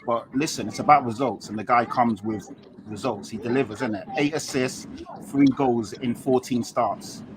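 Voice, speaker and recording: London bloke chatting footy on a noisy call, normal pitch, slangy, repeats stuff to make his point. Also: normal speed. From London, England. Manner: slangy, explanatory, emphatic repetition. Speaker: Tottenham fan, guest on a football show. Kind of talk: casual football chat.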